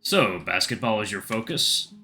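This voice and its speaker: Stern middle-aged father for audio drama played by a male narrator, curt, disapproving, bright forceful edge. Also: fairly low pitch, animated, moderate pace, creaky, faint music behind, full character voice. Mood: cool, curt.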